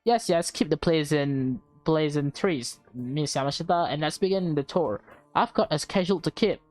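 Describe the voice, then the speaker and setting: A woman's voice: deep voice, normal speed, darkish tone, faint music behind, natural voice. A woman voicing a fussy, impatient male house buyer in a game, curt and interrogating. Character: brusque, fussy, suspicious male house-buying client.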